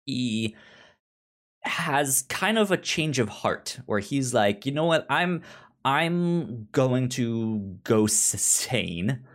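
A man co-hosting a podcast, explaining things in a halting, informal, chatty way. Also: medium pitch, lively intonation. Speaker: podcast co-host discussing comics. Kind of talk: casual chat.

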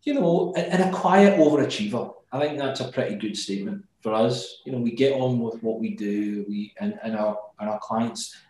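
A man's voice: mid-range voice, moderate pace, hardly any pauses, darkish tone. A man with a Glasgow accent, speaking as an interview guest, thoughtful and often restarting his words. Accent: Glaswegian. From Scotland. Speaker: guest, company executive. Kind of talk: remote interview. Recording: very echoey room.

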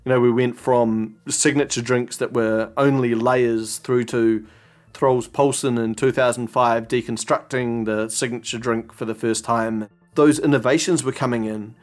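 A man's voice: mid-range voice, fairly even intonation, unhurried. Reflective New Zealand man. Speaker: former barista champion. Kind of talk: recorded interview clip.

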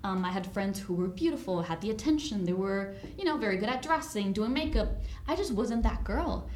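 A woman's voice: medium pitch, expressive, a little fast, a little mellow, slight background noise. Upbeat young woman from El Salvador. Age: 20s. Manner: upbeat, eager storytelling. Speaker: guest, image consultant. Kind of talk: casual podcast interview. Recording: roomy echo.

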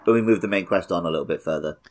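A man's voice: low-pitched, very fast. A man co-hosting a game podcast, chatting casually, laughing often and trailing off mid-sentence. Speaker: podcast co-host. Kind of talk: casual chat about a video game.

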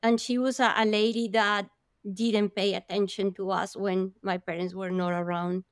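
A woman recounts her childhood as an interview guest, animated and expressive. Her voice sits at an ordinary pitch for a woman. The sound is dry and close. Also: moderate pace, clean tone, no echo. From Colombia. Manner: animated, vivid storyteller with gasps and laughs. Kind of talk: storytelling in an interview.

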